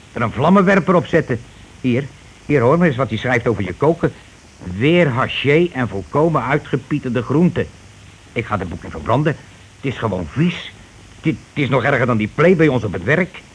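An actor playing a blunt, self-righteous working-class husband in a radio play, grumbling, bossy and rather pompous. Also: male voice, mid-range voice. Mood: disgusted. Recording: noisy old radio play recording.

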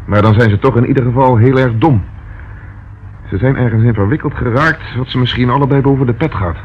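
An actor in a radio play as a police inspector, probing and direct, curt but caring. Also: male voice, medium pitch, fairly quick, natural voice. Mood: firm, probing. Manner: probing, direct, sometimes snappy. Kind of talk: Dutch radio play acting. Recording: dull lo-fi old radio recording, faint noise.

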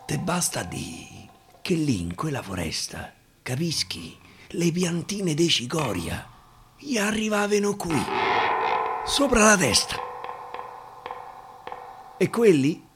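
A man with a Roman accent performing dramatic dialect verse storytelling, with deliberate repetitions and pauses, over guitar. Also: fairly high pitch, sing-song, noisy background. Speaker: actor and storyteller. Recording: live guitar behind the voice.

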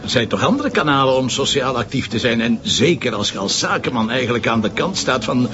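An actor playing a shrewd, sardonic police commissioner who questions probingly, with a Flemish accent, in a naturalistic radio drama. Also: male voice, mid-range voice, very animated, a little fast, crowd noise, lightly acted. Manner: probing, dryly sarcastic. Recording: slightly dull lo-fi audio, music behind.

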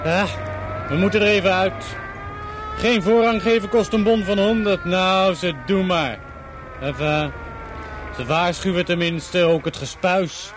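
An actor playing a cynical, talkative Paris taxi driver who grumbles coarsely in a radio play. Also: male voice, high voice, unhurried. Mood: cynical, grumbling. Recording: loud car and street noise behind. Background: car engine and traffic.

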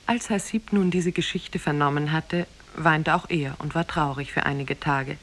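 A woman as narrator of a 1950s radio drama, telling a fairy tale in a measured, scripted way. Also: low-pitched, fairly bright tone. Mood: calm narrating.

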